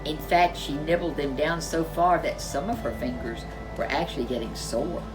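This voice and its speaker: A woman storyteller reading a children's picture book aloud, expressively, with drawn-out emphasis and chatty asides. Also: low-pitched, music in the background, poor audio. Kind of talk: expressive read-aloud narration for young children.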